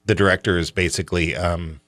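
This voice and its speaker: A man's voice: deep voice, steady tone, fast talker, fairly bright tone, no echo. A man hosting a radio show in a casual, colloquial, opinionated and joking conversational style.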